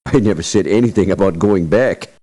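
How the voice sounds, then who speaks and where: A man playing a grave, wary, resentful mercenary in a video game, with a measured and curt delivery. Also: low-pitched, mellow. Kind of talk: video game character voice acting.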